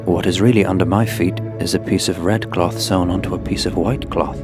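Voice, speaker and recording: A man's voice: low-pitched, steady tone, fairly quick, over music, natural voice. A man acting as a world-weary crusade soldier, narrating a radio drama in the first person as a grave storyteller. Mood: calm, persuasive.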